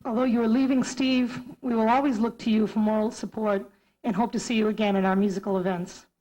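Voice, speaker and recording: A woman's voice: a little high, fairly even intonation, fairly quick, warm tone, poor audio. A woman music teacher giving a formal ceremony speech in a school auditorium, reading prepared remarks.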